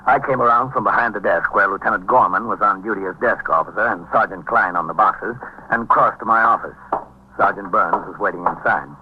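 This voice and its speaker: An actor playing a world-weary, commanding police captain who narrates tersely in a hard-boiled 1950s radio drama. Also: male voice, lowish voice, fairly quick, a little hiss or hum, close to an everyday voice. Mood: grave, measured. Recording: muffled 1950s radio recording.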